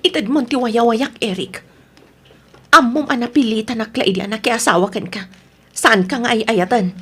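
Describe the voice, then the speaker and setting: A woman's voice: a little high, moderate pace, sharp tone, slightly rough. A woman playing an unhappy wife and mother who wants her freedom, in an Ilocano radio drama. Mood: pleading.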